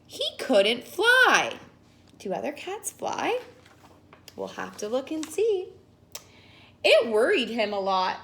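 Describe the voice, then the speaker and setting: A woman's voice: a little high, big pitch swings, takes it slowly, vocal fry, long pauses, fairly bright tone, a little hiss or hum. A woman teacher reading a storybook aloud to young children, playful, with simple questions and explanations.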